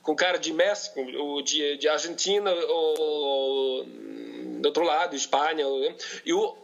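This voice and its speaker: A man from Colombia with a Bogotá Spanish accent, a native Spanish speaker talking as a podcast guest in a second language, halting and searching for words. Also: fairly high pitch, creaky, lo-fi sound. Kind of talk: casual conversation in a second language.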